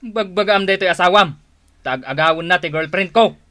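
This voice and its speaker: Hot-headed jealous Filipino boyfriend. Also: male voice, sits high, moderate pace, pushed and bright. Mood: angry, confronting. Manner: menacing, blunt. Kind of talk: Filipino radio drama acting.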